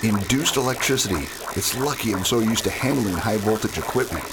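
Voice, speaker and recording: Man playing an animated starship scientist amid loud noise. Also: fairly low pitch, a little fast. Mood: analytical. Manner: technical, explanatory. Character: analytical, cautious male scientist aboard a starship. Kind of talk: space opera radio drama acting. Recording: very loud engine noise behind.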